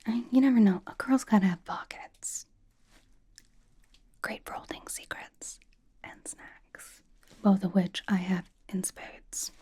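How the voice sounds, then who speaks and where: A woman voicing a young female K-pop idol who is dryly doting and comfort-obsessed, over-explaining playfully in a hushed, casual ASMR roleplay whisper. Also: medium pitch, measured pace, pauses often, husky. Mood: cozy, doting.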